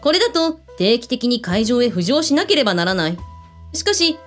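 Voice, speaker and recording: A woman's voice: a little high, very animated, moderate pace, soft background music. An actress in a radio drama playing a breezy, blunt female hotel owner who speaks with authority. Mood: explanatory, confident.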